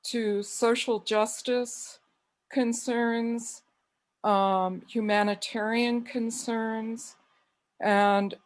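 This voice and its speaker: A woman from Wyoming giving a memorial tribute over a video call, speaking in what she calls a monotone and reading a prayer. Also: a little high. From Wyoming, USA. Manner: slow, calls own voice monotone.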